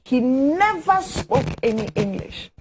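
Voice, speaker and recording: A woman's voice: high-pitched, very animated, slow pace, noisy background. A woman with a Ghanaian accent, a guest on a TV studio panel, telling a story earnestly and with emphasis. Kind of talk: TV panel discussion.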